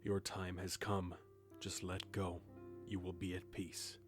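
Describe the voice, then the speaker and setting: Man playing grim reaper, very deep pitch, even restrained delivery, detached, first-person audio drama narration. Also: slow. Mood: solemn, insistent. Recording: music behind the voice. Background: music with guitar.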